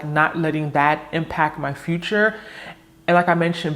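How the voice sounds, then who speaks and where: A woman in her early thirties talking frankly to camera in a casual personal vlog, reflective and candid. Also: deep voice. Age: 30s. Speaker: vlogger.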